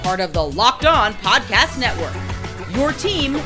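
A woman reads a scripted podcast promo as an announcer. Her voice sits a bit high and moves with very animated intonation, over clear background music. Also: measured pace.

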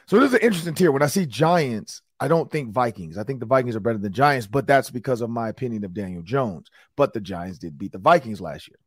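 A middle-aged man hosting a sports podcast, talking casually in a rambling way, his voice a little raspy from shouting. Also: mid-range voice, expressive, fast talker, few pauses, no echo, clean studio sound. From Minnesota, US. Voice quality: slightly raspy. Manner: chatty, rambling with fillers and restarts. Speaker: podcast host.

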